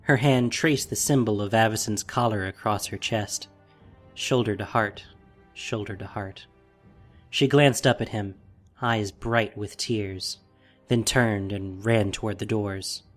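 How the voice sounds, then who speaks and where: A man's voice: lowish voice, steady tone, a little slow, faint music behind. A man narrating a scripted fantasy story in a dramatic, solemn way.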